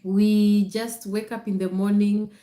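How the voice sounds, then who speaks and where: Slow-talking Kenyan woman, echoey livestream. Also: medium pitch, little pitch movement, warm tone. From Nakuru, Kenya. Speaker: content creator hosting a livestream. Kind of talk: casual livestream chat to viewers.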